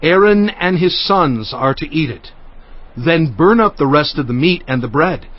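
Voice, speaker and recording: A man playing a commanding prophet who gives solemn, deliberate instructions in a dramatized scripture recording. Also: fairly high pitch, expressive, muffled recording.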